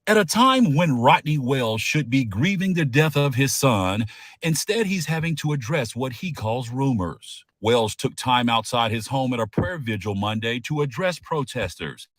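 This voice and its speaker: A man's voice: mid-range voice, expressive, normal speed, a bit bright, no room sound. A man narrating a scripted television news report.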